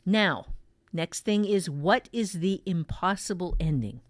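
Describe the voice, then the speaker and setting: A woman hosting an informal talk as a writing teacher, emphatic and halting, often repeating words for stress. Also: on the low side, expressive, no room sound. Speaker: podcast host and fiction-writing teacher. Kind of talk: informal writing advice in conversation.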